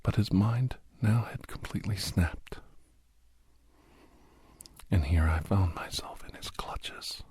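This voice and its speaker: A man playing a grave, haunted doctor and war veteran in an ASMR horror audio drama, speaking slowly in a breathy, husky hush that often slips toward a whisper. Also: lowish voice. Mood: fearful, haunted. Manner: slow, halting, hushed, often slipping into whisper.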